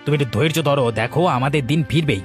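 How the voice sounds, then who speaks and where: A man's voice: mid-range voice, fast, music in the background, lightly acted. A man voicing a proud, stubborn village shopkeeper in a cartoon, firm and admonishing. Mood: stubbornly reassuring. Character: proud, stubborn male village kochuri shopkeeper, principled and patient. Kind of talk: cartoon voice acting.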